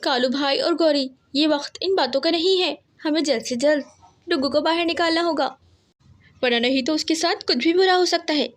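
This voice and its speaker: A woman's voice: high voice, normal speed, lightly acted. A woman voicing a sensible, brave mother sparrow in a cartoon story, earnest and urging. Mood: urgent.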